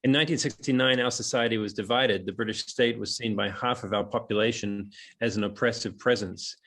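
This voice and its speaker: Man with a soft New Zealand accent reading his talk. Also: lowish voice, steady tone. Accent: New Zealand, softened toward transatlantic. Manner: hesitant and chatty at the start, then reads measured prepared text. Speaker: webinar speaker. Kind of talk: reflective prepared talk on a webinar. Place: room in his house overlooking a lough. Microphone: video call from home.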